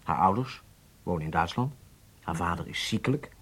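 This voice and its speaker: An actor playing a strict, confident riding instructor in a radio drama, commanding and insistent. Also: male voice, sits low, expressive, moderate pace, a bit bright, slightly rough, exaggerated voice. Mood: calculating, measured. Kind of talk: Dutch radio drama acting.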